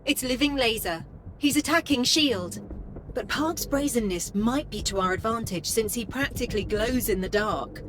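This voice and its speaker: A woman playing a loyal AI assistant in a video game, polite, measured and dryly witty. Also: fairly high pitch, a bit bright, a little hiss or hum, lightly acted. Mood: focused, brisk. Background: music.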